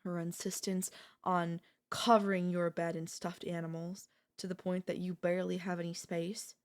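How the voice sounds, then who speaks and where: A woman playing an obsessive, possessive sister-in-law in an intimate ASMR audio roleplay, coolly confessing her love with deliberate pauses. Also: on the low side, flat delivery, lightly acted. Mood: soft, scornful. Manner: slow, deliberate, with long pauses.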